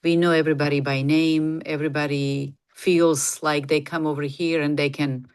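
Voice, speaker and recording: Low, smooth-voiced Bosnian woman as guest. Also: level tone, moderate pace. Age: middle-aged. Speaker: podcast guest, nurse practitioner and clinic founder. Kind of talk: casual interview talk.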